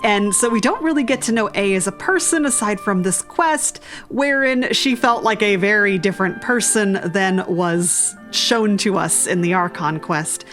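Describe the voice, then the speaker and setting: A woman's voice: medium pitch, moderate pace, few pauses, a bit bright. A woman hosting a video game commentary, speaking casually and informally with an irreverent, jokey manner. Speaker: video game streamer, host. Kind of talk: casual game commentary, reading along and reacting. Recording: voice over game music. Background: game music.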